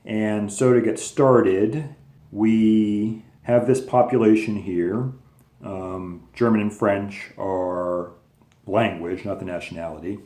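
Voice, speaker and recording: A man with a fairly low voice gives a recorded lesson and explains each step patiently, with relaxed fillers along the way. The room around him is echoey. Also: slow pace. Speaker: teacher. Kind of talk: recorded lecture video.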